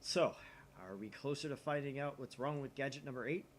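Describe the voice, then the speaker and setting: A man's voice: medium pitch, fairly quick, a little hiss or hum, close to an everyday voice. A man voicing a pompous young self-styled mad scientist from a visual novel, declaiming dramatically. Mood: insistent, self-important.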